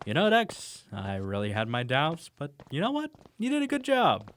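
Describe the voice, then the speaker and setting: A man's voice: on the high side, wildly swooping pitch, moderate pace, a bit bright. A man playing a candid, good-humoured young detective's sidekick in an audio drama. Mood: amused, praising.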